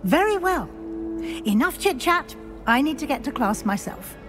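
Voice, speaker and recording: A stern, brisk professor, crisp and businesslike, her fairly high voice riding over clear orchestral music in a game cutscene. She keeps things moving with clipped, no-nonsense authority. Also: big pitch swings. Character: brisk older female professor, stern and proper.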